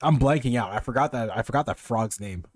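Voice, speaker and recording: A man's voice: mid-range voice, fairly quick, a little mellow, no room sound, clean studio sound. A man streaming a video game with casual commentary, rambling and swearing as he thinks aloud.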